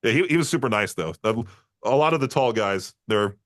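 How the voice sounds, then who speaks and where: A man's voice: medium pitch, lively intonation, a little fast, no room sound, clean studio sound. A man hosting a podcast, telling long stories in a casual, rambling way with lots of fillers. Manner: rambling storyteller, frequent fillers and laughs. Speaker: podcast host. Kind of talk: casual interview chat.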